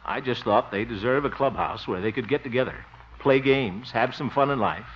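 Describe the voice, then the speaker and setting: An actor playing a jovial, blustery middle-aged small-town radio host in a theatrical 1950s radio comedy style. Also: male voice, mid-range voice, normal speed, slight background noise, lightly acted. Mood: wistful. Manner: theatrical, chuckling, exclaiming. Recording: muffled old 1950 radio recording.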